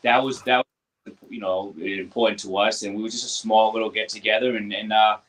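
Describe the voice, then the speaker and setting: A man in his thirties talking casually as a co-host in a relaxed group chat. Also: fairly low pitch, fairly quick, poor audio. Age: 30s.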